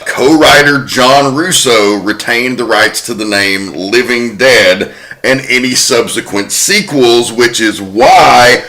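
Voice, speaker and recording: A man's voice: mid-range voice, takes it slowly, pushed and bright, poor audio. A man hosting a horror film podcast, chatting casually and narrating with a wry, laid-back manner. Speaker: podcast host. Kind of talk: casual chat and comic narration.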